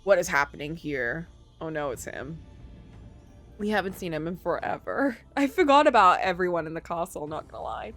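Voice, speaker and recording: A woman streamer reading a story game aloud, mixing expressive narration with casual asides. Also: fairly low pitch, normal speed, faint music behind.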